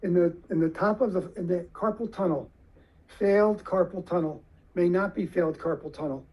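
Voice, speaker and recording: A man giving a lecture to surgeons, explaining slowly and deliberately with questions to the audience. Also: high voice, a little mellow, husky, rough recording.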